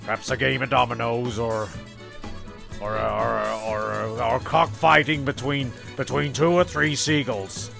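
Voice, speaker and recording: A man narrating a comedy audio show as host, improvising in a halting, repetitive, rambling way. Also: medium pitch, fairly even intonation, vocal fry, bright, forceful tone, soft background music.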